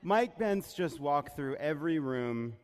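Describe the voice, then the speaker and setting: Man, American accent, slightly high pitch, theatrical joking delivery, comedic political banter, long hall reverb. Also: animated, measured pace, smooth. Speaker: comic political podcast host.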